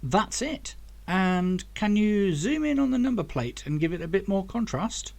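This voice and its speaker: An eager young man in a sci-fi audiobook, acted out by a male narrator, pitched up from plain narration. He is curious and keen, full of questions and exclamations. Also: big pitch swings, measured pace, gravelly, darkish tone, heavily acted. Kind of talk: audiobook character dialogue.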